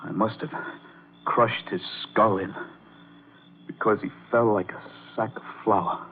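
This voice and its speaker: A man's voice: fairly low pitch, steady tone, measured pace, lightly acted. Guy playing tough terse crime story man, old radio drama, tinny and hissy. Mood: tense, grim. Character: tough man narrating his crime, protective lover. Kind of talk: 1940s radio crime drama acting, first-person narration.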